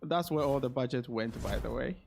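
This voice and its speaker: A man's voice: medium pitch, fairly quick, vocal fry, noisy background. A man chatting casually on a game livestream, thinking aloud and repeating his phrases as he goes. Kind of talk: casual livestream chat while gaming.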